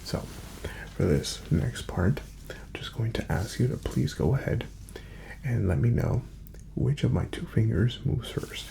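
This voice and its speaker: A man speaking softly and breathily in an ASMR doctor roleplay, often slipping into a whisper as he gives gentle, reassuring, step-by-step instructions. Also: medium pitch, animated, unhurried, gravelly, long pauses. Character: calm, courteous male doctor examining a patient. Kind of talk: soft-spoken ASMR medical exam roleplay.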